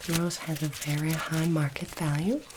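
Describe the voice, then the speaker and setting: Casting: woman playing polite alien examiner of human items, low soft whisper, formal and curious, ASMR roleplay, faint background noise. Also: little pitch movement, lightly acted. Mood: solemn, instructive. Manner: slow, deliberate, formal phrasing.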